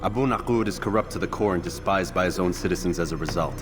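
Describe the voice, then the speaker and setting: An actor playing a stern, proud assassin in a video game, speaking in a terse, serious, matter-of-fact way. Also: male voice, low voice, fast, music in the background, natural voice. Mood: grave, confident. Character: stern, proud young male assassin on a mission. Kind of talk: video game cutscene acting.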